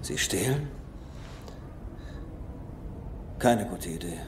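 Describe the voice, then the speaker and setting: Brainy treasure hunter in a reverberant hall. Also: male voice, sits low, noisy background. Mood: wary. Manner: thinking aloud, halting then excited. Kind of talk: film dub acting. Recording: long hall reverb under film music.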